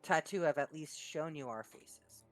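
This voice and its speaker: A woman chatting casually with her gaming group in a relaxed, teasing way. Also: low-pitched, measured pace, soft background music.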